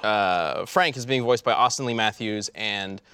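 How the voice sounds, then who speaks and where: A man's voice: lowish voice, measured pace, sharp tone, smooth, no echo. A man hosting a casual panel chat on a podcast. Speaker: podcast host. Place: podcast set.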